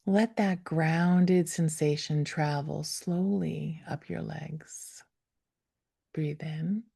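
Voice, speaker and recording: A woman leading a guided meditation, speaking gently and without hurry, with soft, breathy phrase endings that fall toward a whisper. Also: low voice, fairly even intonation. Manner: gentle, unhurried, with long pauses. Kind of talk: guided meditation, slow soothing instruction.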